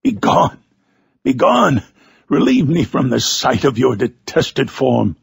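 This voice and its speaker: Male reader as horrified scientist rejecting his creation: vehement, archaic, husky, with wide pitch swings. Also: sing-song, slow pace, no room sound, clean studio sound, full character voice. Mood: horrified, cursing. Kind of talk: dramatic reading of a Gothic novel.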